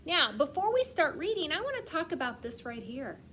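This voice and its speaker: A woman reading a picture book aloud like a kindergarten teacher, stressing words expressively and putting questions to the children. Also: high-pitched. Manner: asks questions and pauses as if children answer; expressive storytelling with slowed, emphasised words. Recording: clear background noise.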